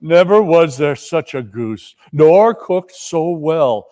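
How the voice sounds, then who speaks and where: A man giving a theatrical storybook narration, deliberate and expressive. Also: a little high. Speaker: narrator in a dramatic reading.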